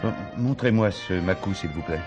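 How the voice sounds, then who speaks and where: An actor as low-voiced, courteous police inspector. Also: male voice, normal speed, music in the background, natural voice. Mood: intrigued, businesslike. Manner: courteous, businesslike, questioning. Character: polite, probing male police divisional inspector. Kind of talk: French stage comedy-mystery acting.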